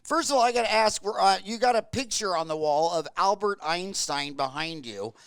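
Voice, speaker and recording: A man hosting a conversational podcast interview, putting his questions in a halting way with repeated restarts. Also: high-pitched, animated, smooth, no echo.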